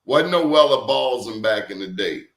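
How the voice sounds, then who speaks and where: Animated man telling a story in an echoey room. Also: medium pitch, moderate pace. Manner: animated, emphatic storytelling with rhetorical questions. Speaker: professor and guest. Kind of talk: casual conversational talk.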